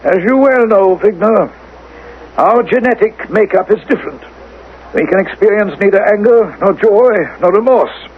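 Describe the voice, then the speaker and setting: A man playing a grave, commanding ruler of a future world in radio drama, speaking formally and with measured authority. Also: high-pitched, animated. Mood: coldly commanding. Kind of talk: 1980s radio drama acting. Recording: narrow-band old radio recording, faint noise.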